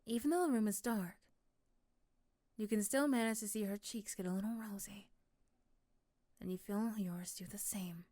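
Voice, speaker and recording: A woman narrating a scripted ASMR romance in the second person, softly and often dropping to a whisper. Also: mid-range voice, normal speed, pauses often, no room sound, clean studio sound.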